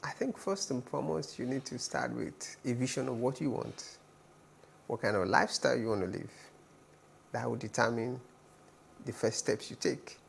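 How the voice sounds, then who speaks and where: A man's voice: medium pitch, moderate pace, gravelly, slight background noise. A man, an architect guest in a TV studio, explaining building choices through a string of questions. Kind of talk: expert explanation in interview.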